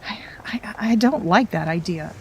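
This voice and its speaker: An actress playing a composed, courteous but firm medical woman in an audio drama, speaking in a measured way. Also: medium pitch, lively intonation, slight background noise. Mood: reflective. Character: composed, polite, firm female medical professional. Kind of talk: audio drama acting with reflective narration.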